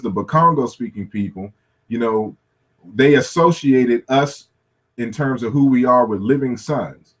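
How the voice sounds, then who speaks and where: A man's voice: on the low side, breathy edge. A man lecturing through slides at a workshop, thinking aloud with many "you know" fillers and restarts. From United States.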